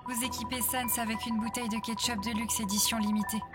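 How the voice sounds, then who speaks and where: A flat, deadpan game narrator, played by a woman, in a mid-range voice. The delivery is detached and scripted, with music behind. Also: on the high side, fast, natural voice. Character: impassive game-style narrator addressing the player.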